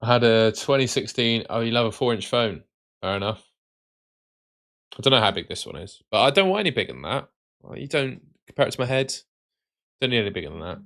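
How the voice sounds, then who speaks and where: A man hosting a live stream with casual, matey chat that can turn excitable and sing-song. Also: mid-range voice, brisk, pauses often. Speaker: livestream host.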